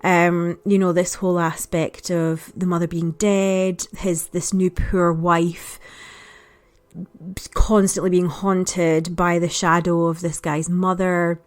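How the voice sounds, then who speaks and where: A woman's voice: lowish voice, little pitch movement, takes it slowly. An adult woman giving a casual spoken review as a podcast contributor, chatty, reflective and understated. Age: adult parent.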